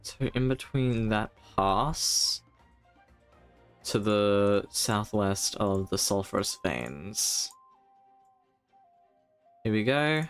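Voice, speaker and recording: A man's voice: lowish voice, expressive, drawn-out words, long pauses, clean tone. A man doing casual live video game commentary, thinking aloud with playful exclamations. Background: game music.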